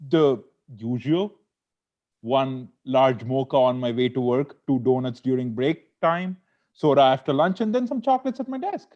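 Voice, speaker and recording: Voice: medium pitch, animated, moderate pace, highs cut off, close to an everyday voice. A performer in an amateur table read playing a stressed, sweet-toothed lawyer husband who bickers, wheedles and pleads like he is in court. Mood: craving, pleading. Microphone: video call.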